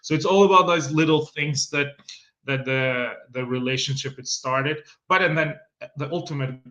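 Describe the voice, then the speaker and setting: A man's voice: mid-range voice, normal speed, pushed and bright, lo-fi sound. A middle-aged man from Italy with an Italian accent, a winery sales guest in a casual interview, talking with many restarts and frequent laughs.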